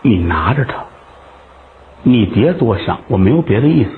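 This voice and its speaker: A man voicing a gentle young courtesan in a told story, speaking softly and low. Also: big pitch swings, normal speed, a little hiss or hum. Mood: tender. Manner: soft, low and hushed. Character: young gentle courtesan woman, tender and resolute. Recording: tinny phone-like sound.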